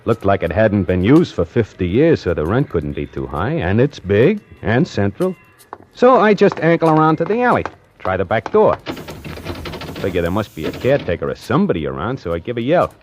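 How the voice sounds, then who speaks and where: A man's voice: medium pitch, wildly swooping pitch, moderate pace, a little hiss or hum. An actor playing a streetwise, slangy, hard-up necktie salesman who narrates an old-time radio suspense play in a dramatic style. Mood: restless, wry. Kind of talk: 1940s radio suspense acting, first-person narration. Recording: old radio broadcast with music behind.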